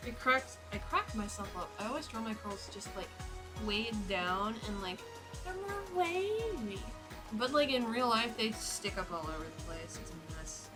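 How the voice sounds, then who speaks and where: A young woman streaming while drawing, talking in a rambling, chatty way full of fillers, her voice slightly raspy. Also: on the high side, animated, normal speed, music in the background. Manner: rambling, chatty, self-interrupting with frequent fillers. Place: home streaming setup.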